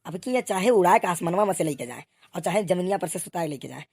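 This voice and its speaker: A woman's voice: on the low side, expressive, fast talker, a little mellow, breathy edge, no room sound, studio quality. A woman voicing bickering village policemen in a comic cartoon, with an eastern Uttar Pradesh accent and exclamatory, colloquial banter. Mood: bantering, grumbling. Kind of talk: comic cartoon dialogue in rustic dialect.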